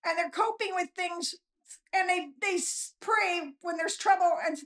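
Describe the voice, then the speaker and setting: Female author guest, very high pitch, unpolished, self-deprecating, conversational storyteller. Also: a little slow, fairly bright tone. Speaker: author being interviewed, guest. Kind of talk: casual, rambling storytelling chat.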